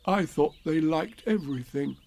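A placid, polite toy bear from a storybook, played by a man, a little puzzled by things. The voice sits fairly high with a lilting range, recorded dry. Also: animated, a little slow, mellow, no room sound. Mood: mildly reproachful. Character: mild, friendly, slightly slow-witted toy bear. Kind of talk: storybook character voice.

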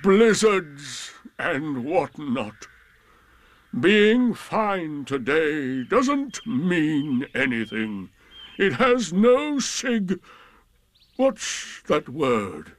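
A man voicing a gloomy old donkey, pessimistic and ponderous, drawing out each word very slowly in a storybook reading. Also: fairly high pitch, very animated, voice pushed up, heavily acted. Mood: glum. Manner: very slow, drawn-out, gloomy.

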